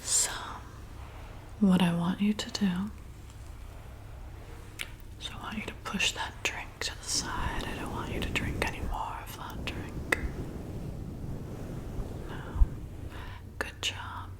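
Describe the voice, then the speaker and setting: A woman playing a flirty, commanding vampire bartender in an ASMR roleplay, softly coaxing and hypnotizing in a breathy whisper. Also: medium pitch, fairly even intonation, close to an everyday voice. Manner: slow, soothing, coaxing, deliberate.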